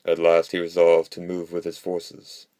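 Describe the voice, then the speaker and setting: Guy reading an old history book, really low dark voice, totally flat, dry close sound. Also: moderate pace, no room sound. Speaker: audiobook narrator. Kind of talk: scripted narration, reading classical history.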